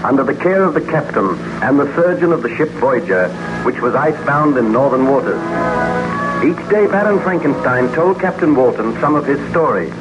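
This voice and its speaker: A man narrating an old-time radio drama as its announcer, with formal, deliberate scripted delivery. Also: medium pitch, very animated, dull audio. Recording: old radio broadcast over loud music.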